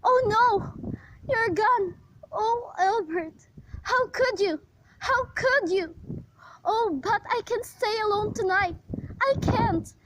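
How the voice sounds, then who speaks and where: Woman acting a frantic, very high-pitched invalid wife. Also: takes it slowly, background noise. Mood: hysterical, accusing. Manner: agitated, pleading, melodramatic. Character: frantic, demanding invalid wife, anxious and self-pitying. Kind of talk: amateur radio play acting.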